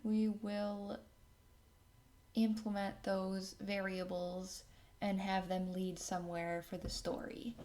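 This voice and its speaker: A woman narrating a casual software tutorial as its host, working at a computer indoors and sometimes murmuring to herself. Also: medium pitch, completely flat delivery, slow pace, slight background noise.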